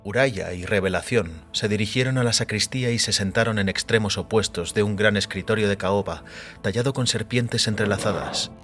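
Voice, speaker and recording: A man's voice: lowish voice, a little fast, fairly bright tone. A man giving a scripted, dramatic literary narration for an audio story. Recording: background music under voice.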